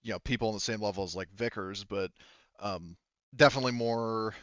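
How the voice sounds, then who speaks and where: A man running a tabletop game as game master, explaining details casually and haltingly, often rephrasing as he goes. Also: fairly low pitch, fairly bright tone, no room sound, muffled recording.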